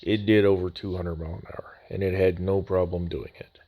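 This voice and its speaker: A man's voice: sits low, fairly even intonation, fairly quick, mellow, no echo. A man narrating an unboxing voice-over of a scale model kit in a casual way with dry humor, his voice a little worn after nearly losing it. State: recovering from near voice loss.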